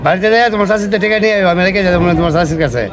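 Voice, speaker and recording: A man's voice: sits high, racing, natural voice. A man acting a shrewd, commanding village moneylender in a drama, with a rural Bangladeshi accent. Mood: calculating. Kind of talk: naturalistic Bengali TV drama acting. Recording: music behind the dialogue.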